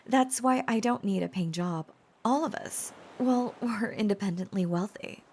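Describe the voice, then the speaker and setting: A woman playing an earnest, devoted dragon-blooded girlfriend in an intimate romantic audio roleplay, confiding with hesitant pauses. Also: mid-range voice, husky. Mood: amused, insistent. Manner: hesitant, confiding, soft-spoken pauses and stammers.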